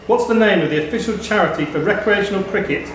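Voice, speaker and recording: High-voiced quiz host in echoey pub. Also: male voice, fast, a bit bright. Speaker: quiz host and question reader. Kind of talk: live pub quiz question reading. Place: indoor pub quiz venue. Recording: echoey pub room with crowd noise. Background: pub crowd.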